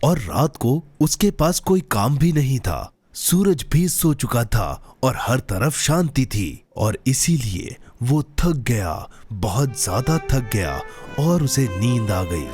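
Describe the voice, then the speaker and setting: Patient adult male cartoon storyteller: unhurried, animated melody, gentle, over music. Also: medium pitch, dry recording, heavily acted. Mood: sleepy, soothing. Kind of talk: children's bedtime storytelling, cartoon dub. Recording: clear music behind the voice.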